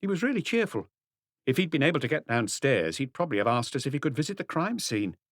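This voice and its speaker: A man voicing a diligent police inspector in an audiobook, briskly reporting his enquiries in detail. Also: mid-range voice, sing-song, no echo, clean studio sound, pitched above the performer's own voice. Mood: eager reporting. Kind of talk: audiobook character dialogue.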